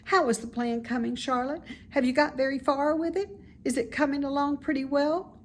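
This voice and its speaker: A woman's voice: high-pitched, fairly even intonation, moderate pace, a little hiss or hum, voice pushed up, natural voice. A woman voicing an anxious, eager young male pig in a storybook reading, pleading politely and full of questions. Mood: anxious, curious.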